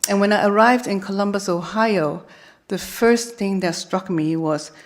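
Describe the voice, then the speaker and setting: A woman's voice: mid-range voice, a little slow. Hesitant woman. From Hong Kong. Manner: hesitant, stammering restarts. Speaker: conference panelist, academic. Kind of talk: conference panel remarks. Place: conference hall.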